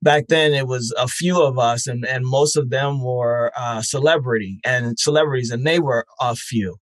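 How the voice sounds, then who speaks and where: A guest on a podcast interview, with a mid-range male voice. He speaks in a measured, thoughtful way with frequent pauses. The sound is dry and close. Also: medium pitch, dry recording. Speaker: guest, network founder. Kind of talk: interview answers. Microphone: remote call.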